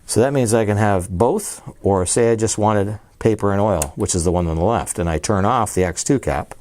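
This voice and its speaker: A man with a low, warm and mellow voice presents a piece of audio equipment in a casual demo. He explains each switch and feature as he goes, with an easy, enthusiastic manner. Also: normal speed. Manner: enthusiastic, explains features while handling gear. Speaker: audio dealer presenting a product.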